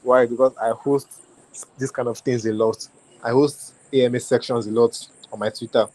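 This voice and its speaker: A man's voice: medium pitch, fairly even intonation, normal speed, a little mellow, rough recording. Nigerian-accented man, loose and repetitive. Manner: repetitive, restarts phrases, many fillers like "like". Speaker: community lead speaking on a group call. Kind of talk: casual talk on a call.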